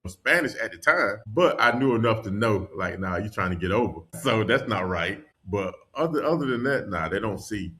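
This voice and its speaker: A man from North Carolina, a podcast guest and world traveler, telling stories in casual conversation with lively energy and frequent laughter. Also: on the low side, normal speed, few pauses. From North Carolina, USA. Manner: animated storyteller, laughs often.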